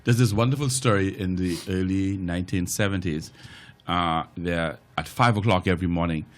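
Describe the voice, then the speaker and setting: An older Bahamian man with a lowish voice talks on a discussion panel. He often trips over repeated words before his point comes out, and he mixes in joking asides and island dialect. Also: normal speed. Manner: stammering restarts, joking asides. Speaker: talk show panelist.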